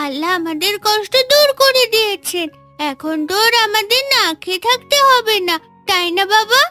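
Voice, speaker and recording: A woman's voice: very high-pitched, animated, moderate pace, pushed and bright, soft background music, exaggerated voice. A woman voicing an earnest, innocent little village girl in a fairy-tale cartoon, with a thin childlike voice. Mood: delighted.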